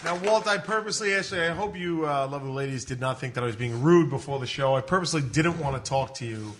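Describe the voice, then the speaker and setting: A man's voice: fairly high pitch, fast talker. A man hosting a comic panel, questioning a guest in a teasing, mock-formal way. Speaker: podcast host questioning a contestant.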